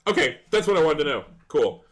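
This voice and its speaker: A man's voice: on the high side, very animated, brisk. A man acting as game master in a casual tabletop role-play podcast conversation.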